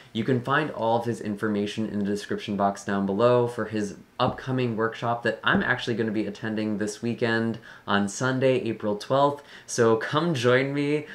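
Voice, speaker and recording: A tarot reader hosting a channel and talking casually to viewers, with a slightly scratchy, tired voice. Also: medium pitch. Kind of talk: casual talk introducing a general tarot reading.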